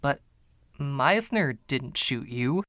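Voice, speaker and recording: A man's voice: medium pitch, animated, slow pace, pushed and bright, dry recording, studio quality. A man voicing an exasperated, doubting pianist in a short story reading, questioning in an incredulous way. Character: exasperated, doubting male pianist narrator named Andre.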